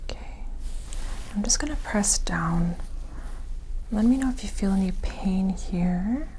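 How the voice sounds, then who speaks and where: A woman gives an ASMR medical roleplay exam in a breathy whisper, gently reassuring as an examiner. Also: medium pitch, a little slow, slight background noise. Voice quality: breathy, mostly unvoiced whisper. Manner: gentle, soothing clinical reassurance.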